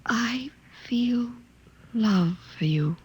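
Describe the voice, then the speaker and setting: An actress playing an earnest, troubled young woman scientist in a 1950s radio drama, with a breathy voice and halting delivery. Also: a little high, expressive, exaggerated voice. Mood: wondering, tender.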